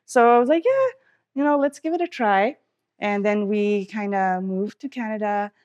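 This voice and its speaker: A woman's voice: medium pitch, animated, normal speed. Woman from Pakistan, middle-aged, chatty, storytelling, casual interview guest. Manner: chatty, warm storytelling with quoted asides. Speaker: guest, dentist. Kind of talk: casual interview while cooking.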